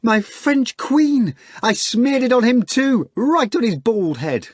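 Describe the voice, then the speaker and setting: A man's voice: high-pitched, sing-song, moderate pace, fairly bright tone, dry recording, studio quality, voice pushed up. A man voicing a brash young housemaid who shrieks, mocks and gloats with glee. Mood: gleeful. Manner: shrieking, gleeful, mocking.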